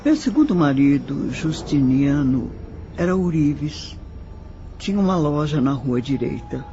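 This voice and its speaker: A man's voice: a little high, animated, mellow, a little hiss or hum. A man with a Brazilian accent playing a sorrowful nineteenth-century widow who recounts her life formally in an audio drama. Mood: reminiscing. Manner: slow, measured, formal recollection. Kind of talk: scripted audio drama monologue. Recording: slightly muffled, music underneath. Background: background music.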